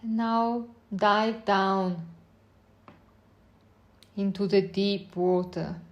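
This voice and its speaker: Slow-paced woman guiding a meditation. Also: mid-range voice, highs cut off. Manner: unhurried short phrases with long pauses, gentle instructing. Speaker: meditation guide. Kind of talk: guided meditation, slow spoken visualization.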